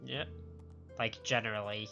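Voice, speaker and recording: A man's voice: lowish voice, steady tone, measured pace, gravelly, bright, forceful tone, muffled recording. A man on a live game stream, reading dialogue aloud with stumbles over the words and reacting to it. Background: game music.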